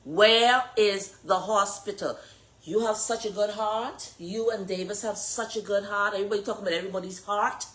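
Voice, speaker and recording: A woman with a Bahamian accent delivers a fervent political speech at a middling pitch. She is emphatic and confrontational, pressing her listeners with pointed rhetorical questions. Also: normal speed, a bit bright, low-quality recording. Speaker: political candidate. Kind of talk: impassioned political address.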